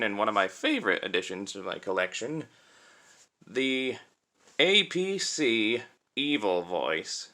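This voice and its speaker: A young man giving a casual, unscripted toy review in a chatty, halting way, recorded on a cell phone. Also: lowish voice, pushed and bright. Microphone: cell phone used as camera.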